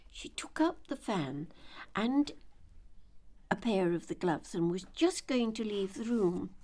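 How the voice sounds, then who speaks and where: An older woman reading a children's story aloud in a measured, deliberate, expressive storytelling manner. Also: mid-range voice. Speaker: children's audiobook reader.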